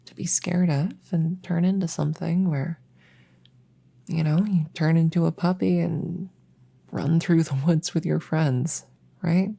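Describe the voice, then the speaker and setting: A woman plays a reassuring witch veterinarian in a soft ASMR roleplay. Her voice is low and mellow with a husky edge. She talks in a soothing, slightly hesitant bedside manner, gently chatting to a nervous patient. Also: fairly even intonation, unhurried, heavily acted. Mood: gentle, explaining.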